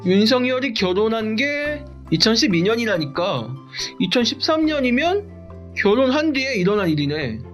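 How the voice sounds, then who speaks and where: Woman voicing an excitable, swooping storybook sidekick. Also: fairly high pitch, normal speed. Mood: astonished. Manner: exclamatory, incredulous questioning. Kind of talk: animated explainer dialogue. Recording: music bed behind the voice.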